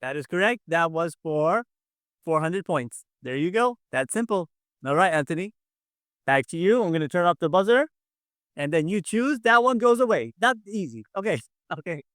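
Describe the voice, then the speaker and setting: A man's voice: high voice, big pitch swings, normal speed, fairly bright tone, dry recording, clean studio sound. A man casually hosting a quiz game on a video call, encouraging and often repeating himself. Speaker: quiz show host.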